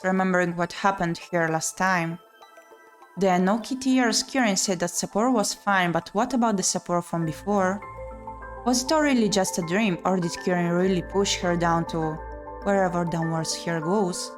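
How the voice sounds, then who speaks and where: A woman streamer reading a visual novel aloud in an expressive, theatrical way. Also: fairly low pitch, moderate pace. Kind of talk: dramatic read-aloud narration. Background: game music.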